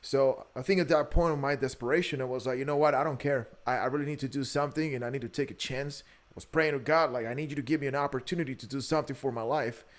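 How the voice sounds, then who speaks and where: A man's voice: medium pitch, fairly even intonation, fast. A man in his early thirties from Guatemala, a native Spanish speaker with a Guatemalan accent, telling his story candidly as an interview guest, often repeating words as he goes. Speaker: podcast guest, entrepreneur. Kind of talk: conversational interview, personal storytelling.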